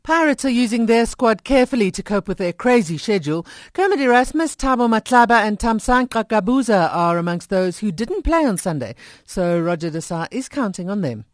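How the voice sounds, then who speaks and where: A woman with a South African accent presenting a radio sports news bulletin in a brisk, crisp manner. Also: medium pitch, big pitch swings, no echo. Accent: South African English. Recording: dry studio mic.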